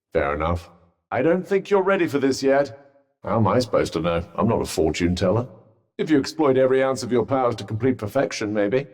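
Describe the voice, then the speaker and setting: An actor voicing a sentient magical vambrace in a video game, a wry, haughty, cautious companion with a British accent and dry, sardonic, formal understatement. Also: male voice, mid-range voice. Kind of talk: video game character dialogue. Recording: long reverberant echo on the voice.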